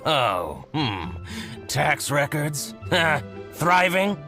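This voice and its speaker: An actor playing a jealous, scheming shopkeeper in a video game, with an exaggerated manner that swings between fawning and grumbling. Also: male voice, a little high, very animated, very slow, over music, heavily acted. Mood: indignant, grumbling. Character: scheming, jealous male shopkeeper, theatrical and indignant.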